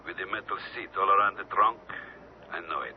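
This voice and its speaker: An actor playing a cold, ruthless major of a dictatorship in a radio drama, speaking in a clipped, guarded way. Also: male voice, deep voice, fairly quick, breathy edge. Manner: clipped, guarded, menacingly calm. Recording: noisy, thin phone-like old radio recording.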